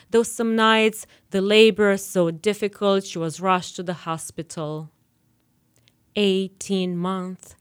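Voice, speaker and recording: A woman poet from Romania with a Romanian accent, talking haltingly in an interview and then reading her poems slowly and carefully. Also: mid-range voice, smooth. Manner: hesitant, restarts often when speaking freely; slow and deliberate when reading poems. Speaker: poet guest. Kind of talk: interview talk and poetry reading.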